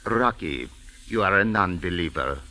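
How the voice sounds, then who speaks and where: Deep, stately desert sheikh. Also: male voice, expressive, moderate pace, pushed and bright. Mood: grave, confiding. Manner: solemn, formal, stately phrasing. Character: very tall desert sheikh, dignified hospitable host. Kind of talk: 1940s American radio drama acting. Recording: old-time radio recording.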